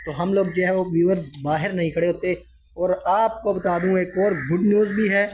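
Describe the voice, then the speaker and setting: Chatty high-voiced vlogger with a sore throat. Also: male voice, steady tone, moderate pace, a little mellow, a little hiss or hum. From Pakistan. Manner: chatty, addresses viewers often. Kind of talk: casual vlog narration to viewers. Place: hot store room in a village house.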